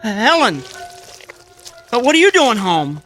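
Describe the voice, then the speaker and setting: An earnest, defiant scientist husband in a radio play. His voice is high and bright, swinging widely in pitch. He is loyal and easily flustered. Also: sing-song, slow pace, faint music behind, full character voice. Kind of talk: radio drama acting.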